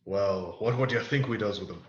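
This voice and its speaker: A man's voice: fairly low pitch, brisk, close to an everyday voice. A man playing a wily, swaggering pirate ship's cook in a radio play, talking in boastful, salty pirate dialect. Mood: scheming, impatient. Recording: echoey room, dull muffled sound.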